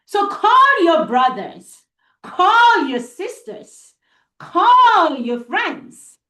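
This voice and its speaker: A woman with a Nigerian accent telling a story live to children, deliberate and expressive, with dramatic pauses and repetition, as host. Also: extremely high pitch. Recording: roomy, echoey sound.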